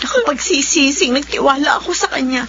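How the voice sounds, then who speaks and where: Woman playing a very high-voiced, remorseful young woman. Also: a little fast, lo-fi sound, noisy background, natural voice. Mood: sorrowful. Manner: pleading, melodramatic. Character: remorseful, frightened young woman, loyal friend. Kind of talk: Filipino radio horror drama acting.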